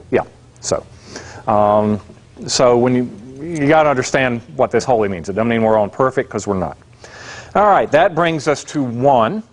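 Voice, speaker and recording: A man giving a casual classroom lecture as an adult religion class instructor, plainspoken and conversational. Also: medium pitch. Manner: conversational, plainspoken, many fillers and asides. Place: church classroom.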